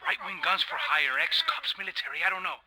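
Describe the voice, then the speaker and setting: Urgent, high-pitched streetwise gunman. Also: very animated, a little fast, a crowd in the background. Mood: grim, tense. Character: Passos, urgent streetwise male gunman partner. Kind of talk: video game cutscene acting. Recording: loud action noise and music behind.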